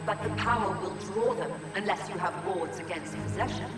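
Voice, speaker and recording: A woman playing a proud, devoted mage commander in a fantasy video game, formal, measured and intense. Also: mid-range voice, close to an everyday voice. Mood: resolute. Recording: echoey hall, distant, loud music. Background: loud music.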